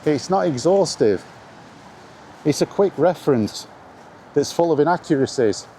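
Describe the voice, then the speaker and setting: A man's voice: on the high side, lively intonation, a little slow, mellow. Middle-aged man rambling outdoors, background noise. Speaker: video blogger talking about retro games. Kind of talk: casual rambling opinion monologue. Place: outdoors in the rain, walking. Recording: noisy outdoor recording with ambient noise.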